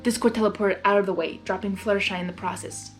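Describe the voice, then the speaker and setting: A woman's voice: mid-range voice, fairly even intonation, fast, faint music behind. A woman narrating a story expressively as a reader, dropping in casual reactions of her own. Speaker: narrator, fan fiction reader.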